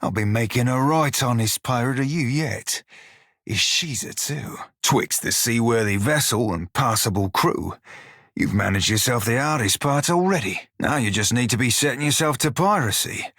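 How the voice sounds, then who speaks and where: An actor playing a cocky, bawdy furry in a video game, with a sly, wry, drawling manner. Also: male voice, medium pitch, lively intonation, moderate pace, a bit bright, no room sound, clean studio sound. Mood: roguish, bawdy. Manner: salty, drawling pirate dialect, sly and wry. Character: bawdy, roguish furry orlan pirate shiphunter, loyal and cocky. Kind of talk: video game character dialogue.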